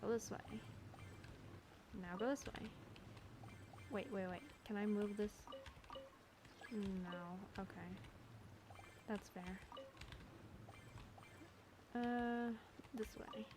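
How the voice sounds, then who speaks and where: Woman, gamer, slow casual self-talk, exasperated exclamations, clear background noise. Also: mid-range voice, fairly even intonation. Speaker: gamer recording a playthrough. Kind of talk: casual gameplay commentary and reactions.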